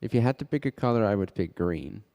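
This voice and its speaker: Man, meditation guide, very mellow dark tone, unhurried short phrases, long reverb. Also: on the low side, animated. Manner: measured, halting delivery in short phrases with pauses.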